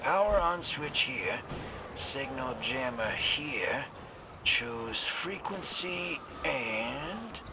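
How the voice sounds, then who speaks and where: An actor playing a brash, sarcastic rogue in a video game, irreverent and quick to irritation. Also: male voice, mid-range voice, expressive, creaky, very noisy, exaggerated voice. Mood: matter-of-fact. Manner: wisecracking, irreverent. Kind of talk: video game cutscene voice acting.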